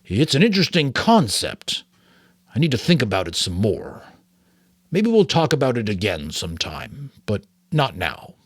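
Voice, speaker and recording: A man voicing a grave, weary, thoughtful old mentor in an audiobook, speaking in a deliberate, solemn way. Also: medium pitch, sing-song, breathy edge, no room sound, clean studio sound. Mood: pensive. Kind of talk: audiobook character reading.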